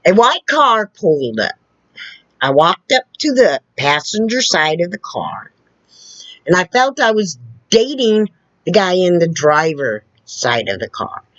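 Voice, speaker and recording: A woman telling personal stories in a casual way, with a loud, carrying voice and a slow, halting delivery full of restarts and asides. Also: lowish voice, big pitch swings, dry recording. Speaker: storyteller. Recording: dull lo-fi recording.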